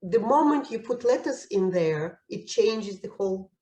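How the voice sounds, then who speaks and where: A woman with a Russian accent answering interview questions thoughtfully, pausing and restarting often. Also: mid-range voice, expressive, mellow, echoey room. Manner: thoughtful, hesitant with frequent fillers and restarts. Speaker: painter interviewee.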